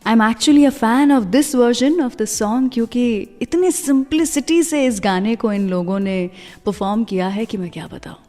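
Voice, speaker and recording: A woman's voice: a little high, slow pace, warm tone, big-room sound. An Indian woman presenting a music radio show in a conversational, chatty storytelling way, slipping between Hindi and English phrases.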